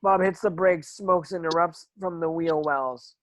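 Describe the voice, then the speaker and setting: Guy reading script action lines, highish voice, dry close sound, trips on words sometimes. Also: fairly even intonation, measured pace, fairly bright tone, no room sound. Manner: stumbles over words while reading. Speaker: stage directions narrator. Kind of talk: scripted narration of screen action.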